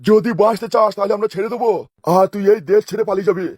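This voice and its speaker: A man's voice: high-pitched, level tone, rapid-fire, darkish tone, no echo, studio quality. A man voicing a commanding, spiteful head mason in a comic cartoon. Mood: threatening. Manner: curt, ordering.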